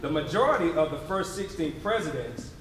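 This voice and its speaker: A man's voice: a little high, big pitch swings, brisk, background noise. A man giving a sardonic, emphatic staged reading with comic sarcasm. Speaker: readers theatre performer. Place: echoey indoor venue with live crowd. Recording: echoey hall, distant mic, audience responses.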